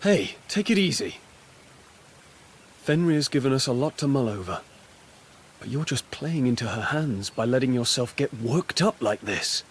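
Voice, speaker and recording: A man's voice: medium pitch, lively intonation, moderate pace, pauses often, natural voice. Level-headed male game sidekick, played by an actor; frank, casual, middle register, slight noise behind. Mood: cautioning. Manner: informal, frank. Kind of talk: scripted video game drama.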